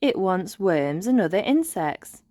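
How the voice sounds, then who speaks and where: Old male elf played by a woman, storybook sage. Emphatic, brisk, knowing, lively swings in pitch. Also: no echo, clean studio sound. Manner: emphatic, declaiming.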